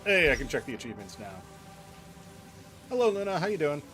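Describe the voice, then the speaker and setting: A man's voice: a little high, very animated, fairly quick, pushed and bright, soft background music. A man chatting casually as a game streamer, in an informal, rambling way. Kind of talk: casual live stream chat and reactions.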